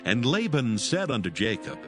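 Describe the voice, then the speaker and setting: A man's voice: mid-range voice, sing-song, moderate pace. Solemn male scripture narrator over music.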